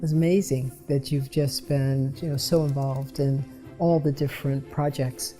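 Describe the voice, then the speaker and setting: A woman's voice: very deep voice, fairly even intonation, normal speed, warm tone, faint music behind. A woman hosting an online knitting call, chatting and interviewing a longtime collaborator. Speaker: knitting designer and class leader. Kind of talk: casual call presentation and interview.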